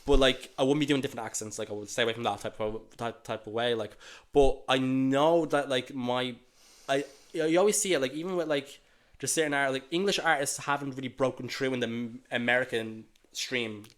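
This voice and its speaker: A young man with a light Dublin accent, a guest rapper chatting casually in a laid-back, soft-spoken way. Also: medium pitch, brisk. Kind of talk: casual chat.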